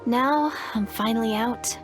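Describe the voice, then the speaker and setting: An actress playing an anxious, apologetic young woman in a video game, speaking in an earnest, hesitant and expressive way. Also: a little high, unhurried, music in the background, close to an everyday voice. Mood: relaxed, grateful.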